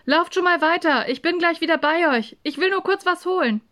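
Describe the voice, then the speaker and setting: A woman voices a good-hearted schoolboy in a children's story. He talks in a fairly high voice, casual and offhand, a bit shy about his own kindness. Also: moderate pace, fairly bright tone, close to an everyday voice. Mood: hurried. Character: kind, bashful, impulsive young schoolboy.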